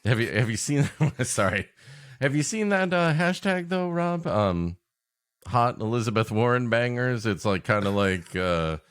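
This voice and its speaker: A man's voice: mid-range voice, big pitch swings, a little slow, fairly bright tone, clear, resonant tone. A man co-hosting a comedy podcast, bantering playfully in casual chat and often laughing.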